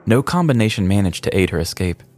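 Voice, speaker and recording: A man's voice: on the low side, big pitch swings, fast talker, a little mellow, faint music behind. A man co-hosting a podcast, narrating a scripted comedic true-crime story. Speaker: podcast co-host.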